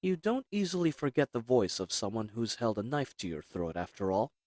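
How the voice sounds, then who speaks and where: A man playing a cool, confident, flirtatious assassin in a roleplay audio drama, with a smug, teasing, measured delivery. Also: mid-range voice, very animated, a little mellow, no room sound, clean studio sound, natural voice. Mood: menacing, smug.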